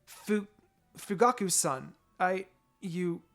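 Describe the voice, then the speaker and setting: A man's voice: high voice, expressive, slow, creaky, a bit bright, soft background music, heavily acted. A man voicing a polite, eager young ninja girl in an earnest, exclaiming manner for a story reading. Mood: flustered.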